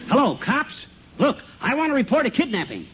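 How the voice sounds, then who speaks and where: A brash, excitable middle-aged husband, blustering away in slang. His voice is very high for a man and leaps around, as in 1950s radio comedy, on a dull, noisy old recording. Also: fairly quick, full character voice. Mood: urgent, eager.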